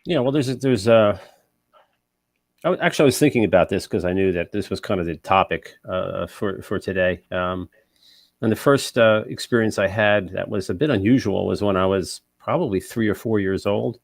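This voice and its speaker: A man's voice: fairly low pitch, moderate pace, creaky, dry recording, clean studio sound. An American man in his sixties from New Jersey, a guest answering thoughtfully with hesitations. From New Jersey, USA.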